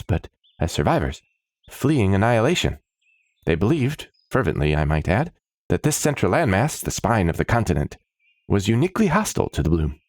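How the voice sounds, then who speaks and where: A man's voice: lowish voice, no echo, studio quality, pitched above the performer's own voice. A man voicing an eager, chirpy woman alchemist doctor in a fantasy story, speaking in precise, wordy scientific jargon. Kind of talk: fantasy story character dialogue.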